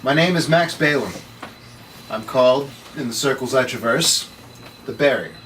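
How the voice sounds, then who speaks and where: A man's voice: mid-range voice, moderate pace, fairly bright tone, lightly acted. A man playing a cool, mysterious, matter-of-fact supernatural guardian in a table-read drama. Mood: cool, explanatory. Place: room at a script table read. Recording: distant mic, faint background noise.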